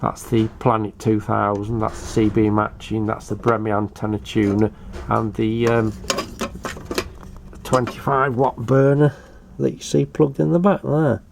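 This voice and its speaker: A man's voice: lowish voice, fairly even intonation, a little slow, vocal fry, darkish tone, slight background noise. An older British man giving a casual show-and-tell commentary in a hesitant, matter-of-fact way. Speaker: hobbyist video presenter.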